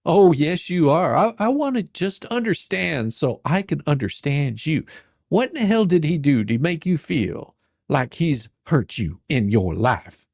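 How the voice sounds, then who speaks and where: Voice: mid-range voice, big pitch swings, measured pace, creaky, darkish tone, no room sound, exaggerated voice. A performer playing a pushy, exasperated attorney in a dramatic reading of a deposition, badgering, sarcastic and coarse. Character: pushy, folksy, exasperated attorney questioning a witness.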